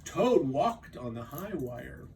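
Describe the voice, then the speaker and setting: A man reading aloud to young children as a storytime teacher, expressive, with dramatic pauses and stretched emphasis. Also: mid-range voice, far from the mic, a little hiss or hum.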